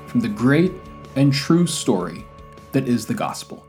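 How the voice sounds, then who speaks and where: Measured, articulate male podcast host. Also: mid-range voice, very animated, a little mellow, soft background music. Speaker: university teacher. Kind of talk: scripted podcast introduction, then conversational interviewing.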